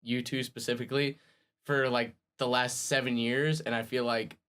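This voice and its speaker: A playful man in his twenties, chatting as a podcast guest with laughs between his lines. His voice is at an ordinary pitch and his talk is loose and relaxed. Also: moderate pace, smooth. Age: 20s. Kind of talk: casual chat.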